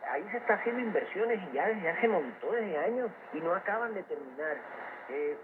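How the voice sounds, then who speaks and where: A man's voice: high voice, animated, a little fast. A man giving explanatory, opinionated economic commentary as an expert guest in a radio interview, heard over shortwave radio. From Cuba. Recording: noisy shortwave radio capture, narrow phone-like band. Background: loud shortwave static and noise.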